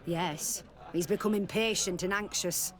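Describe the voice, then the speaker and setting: A woman's voice: on the low side, a little slow, lightly acted. A woman playing a sweet-talking tavern courtesan in a video game, coy, familiar and teasing. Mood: coy, confiding. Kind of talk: video game dialogue acting.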